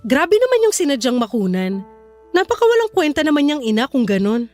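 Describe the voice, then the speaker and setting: A woman's voice: sits high, big pitch swings, fairly quick, darkish tone. An actress playing a guarded young woman facing her old flame in a Filipino radio drama. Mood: sympathetic, reserved. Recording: music bed under the voice.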